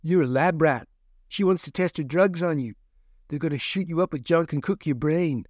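A man's voice: a little high, moderate pace, darkish tone, no room sound, clean studio sound, pitched above the performer's own voice, natural voice. A man voicing a gaunt caged prisoner who speaks bluntly and scornfully, in a storybook reading.

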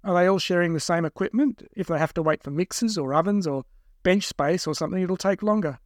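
A man's voice: sits high, brisk, no echo, clean studio sound, voice pushed up, natural voice. A man playing a frightened male captive in an audiobook, urgent, defensive and prone to stammering. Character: frightened, pleading male captive traveller protesting his case. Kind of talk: audiobook character voice.

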